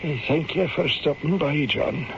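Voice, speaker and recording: An actor playing an elderly, mild village reverend who speaks in a hesitant, musing way in an old-time radio drama. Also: male voice, on the high side, steady tone, measured pace, loud music behind the voice. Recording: muffled, noisy old radio broadcast.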